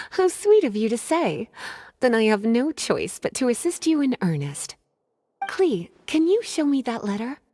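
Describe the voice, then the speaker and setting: Scholarly game librarian woman, highish voice, coy, teasing, poised, richly varied intonation. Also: big pitch swings, warm tone. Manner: coy, teasing, measured and articulate. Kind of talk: video game voice acting. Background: music.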